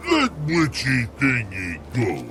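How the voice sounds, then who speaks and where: An actor playing a hungry, simple-minded monster in a game, babbling in broken baby talk. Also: male voice, mid-range voice, huge pitch swings, takes it slowly. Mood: dim, eager. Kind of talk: video game cutscene acting. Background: music.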